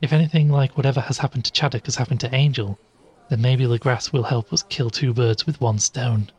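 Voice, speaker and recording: A man's voice: medium pitch, steady tone, a little fast, darkish tone, husky, close to an everyday voice. A man playing an inquisitive, cautious podcaster narrating an eerie investigation in an audio drama. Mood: uneasy, reflective. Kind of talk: first-person audio drama narration and dialogue.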